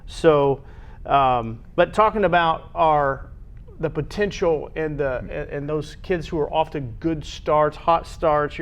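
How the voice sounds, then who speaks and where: A man hosting a conversational sports show, with halting phrasing that often repeats itself. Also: fairly high pitch, smooth, muffled recording. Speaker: sports talk show host.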